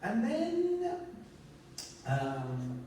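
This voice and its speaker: A man's voice: sits high, wildly swooping pitch, drawn-out words, dark tone, slight background noise. Man in a roomy hall. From Armenia. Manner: earnest, explanatory, with frequent restarts. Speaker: conference speaker. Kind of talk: presentation talk with slides. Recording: echoey hall, distant mic.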